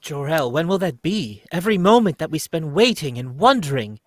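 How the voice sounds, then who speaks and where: Guy doing a worried devoted wife, highish, really animated, melodramatic old radio drama style. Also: a little fast, no room sound, studio quality. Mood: anxious. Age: young adult. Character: devoted, anxious wife and mother on Krypton. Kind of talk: live amateur reading of old radio script.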